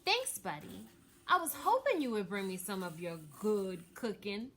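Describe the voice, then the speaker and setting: A woman voicing a friendly, brisk, encouraging male electrician in a children's storybook. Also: mid-range voice, wildly swooping pitch, slight background noise.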